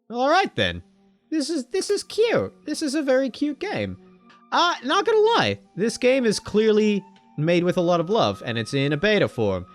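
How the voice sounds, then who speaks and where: A man commentating a game playthrough, reading its text aloud and joking, with casual swearing. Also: fairly high pitch. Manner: jokey, animated, swears casually. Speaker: game streamer.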